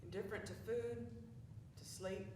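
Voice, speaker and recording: A woman acting a stern, devout stage figure with a mid-pitched voice. She speaks firmly from far off in an echoey, noisy recording. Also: steady tone, moderate pace, lightly acted. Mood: solemn. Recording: echoey theatre from afar, clear noise.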